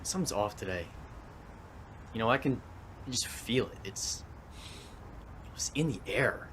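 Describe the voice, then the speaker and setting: A man playing a jaded, intuitive police detective in a comic drama, with a husky voice and a wry manner. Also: medium pitch, animated, a little slow, fairly bright tone, a little hiss or hum. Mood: brooding. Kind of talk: naturalistic comic adaptation acting.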